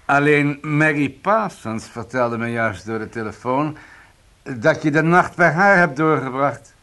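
An actor playing a hard, smug police interrogator in a radio crime drama, curt and taunting. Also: male voice, a little high, a little slow, sharp tone. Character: hard, needling male police interrogator, smugly in control.

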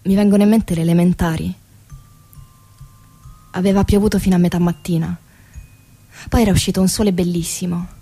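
A woman's voice: lowish voice, fairly even intonation, brisk, pauses often, dark tone, slightly rough. An actress playing a weary woman who works on a car assembly line, narrating a radio theatre monologue in short clipped phrases. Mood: reflective. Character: weary female car factory assembly-line worker telling her story.

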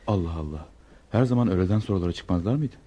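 A man's voice: low voice, expressive, quick pace, warm tone, husky. A man playing a hearty, pious dervish companion in Turkish radio theatre, given to exclaiming.

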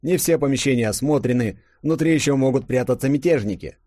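A man's voice: medium pitch, a little fast, mellow, dry recording, pitched above the performer's own voice, natural voice. A loyal admiral, as a man voices him speaks with firm, respectful deference. The voice sits a little above the narrator's. The recording is dry.

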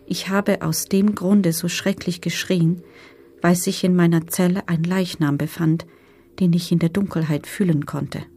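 Female camp survivor, low voice, sober, measured, factual witness testimony, radio documentary drama. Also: fairly even intonation, dark tone, breathy edge. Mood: sober, haunted. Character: former female concentration camp prisoner giving grim testimony.

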